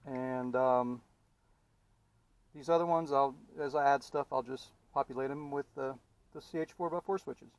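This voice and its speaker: A man with a mid-range voice talks through a hands-on installation as a DIY vlogger. His delivery is informal and halting, as if thinking aloud while he works. The style is that of a casual how-to video. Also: medium pitch, steady tone.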